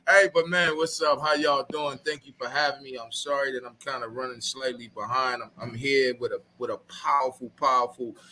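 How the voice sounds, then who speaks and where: A man from Baltimore, an actor, joining an interview by video call from a film set, animated and joking in casual slang. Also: mid-range voice, normal speed, slight background noise, low-quality recording. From Baltimore, USA. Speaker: actor guest.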